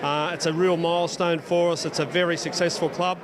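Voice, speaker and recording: A busy crowd sounds behind a middle-aged man. He has a slightly high voice and speaks in a businesslike interview as a club manager. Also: steady tone, a little fast, noisy background. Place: entertainment venue during a party.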